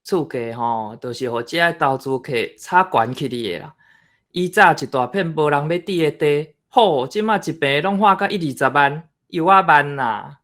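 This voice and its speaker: A man's voice: a little high, moderate pace, a bit bright, natural voice. An actor with a Taiwanese accent playing a plain-spoken village father in a radio drama. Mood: matter-of-fact.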